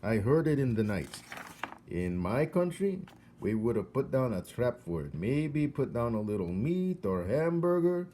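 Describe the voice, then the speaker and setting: A man's voice: mid-range voice, big pitch swings, a little slow, dark tone, slight background noise, pitched above the performer's own voice. A man voicing a crazy, agitated old foreign man in a storybook reading. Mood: uneasy, conspiratorial.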